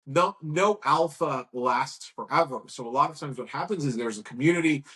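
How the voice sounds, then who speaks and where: A man in his thirties, a guest in a casual interview, talking in a frank, rambling way and telling lively stories. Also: mid-range voice, moderate pace. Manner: rambling, frank, lively storytelling with acted quotes. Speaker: guest, agency owner.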